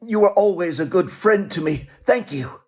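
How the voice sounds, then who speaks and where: An actor playing a frightened, fussy old miser in a radio play, pleading and wheedling in a quick, anxious manner. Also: male voice, sits high, animated, darkish tone. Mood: anxious, hopeful. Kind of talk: theatrical radio play acting.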